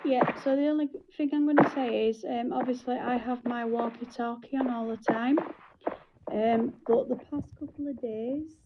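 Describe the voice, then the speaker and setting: A woman's voice: fairly high pitch, steady tone, moderate pace, phone-quality sound. A woman explaining and instructing in casual talk, leading a meeting in an online game voice chat. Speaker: senior EMS player leading a game meeting. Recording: faint background noise with clicks.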